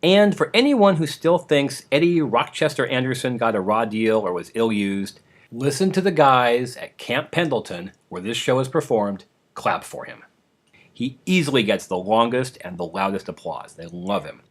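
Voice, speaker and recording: A man hosting a podcast, introducing old radio programs in an informal, prepared style. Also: medium pitch. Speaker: podcast host.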